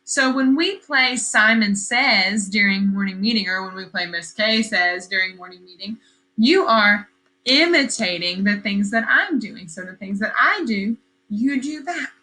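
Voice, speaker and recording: A woman teacher reading a story aloud to young children, expressive and questioning, repeating phrases for emphasis. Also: medium pitch, moderate pace, rough recording.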